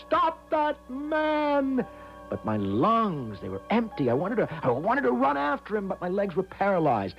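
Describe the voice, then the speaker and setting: A man's voice: high voice, heavily acted. An actor playing a nervous, easily flustered young American traveler in a radio drama, stammering and fretting as he talks. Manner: stammering, hesitant, fretful. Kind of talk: theatrical radio drama acting with first-person narration. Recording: dull vintage radio recording with music.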